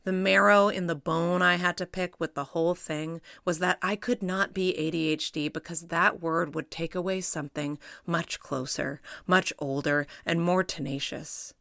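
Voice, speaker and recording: Middle-aged woman, low creaky voice, dry close read, wry and reflective essay narration. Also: fairly even intonation, normal speed, few pauses, fairly bright tone, dry recording. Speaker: essay reader, psychologist. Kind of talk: reading her own personal essay aloud.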